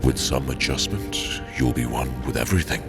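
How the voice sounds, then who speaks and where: A knowing cosmic being in a sci-fi audio drama. His extremely deep, gravelly voice is wry and theatrical. Each phrase is deliberate, with music underneath. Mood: amused, grand. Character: ancient-seeming cosmic entity, wry, knowing, patient.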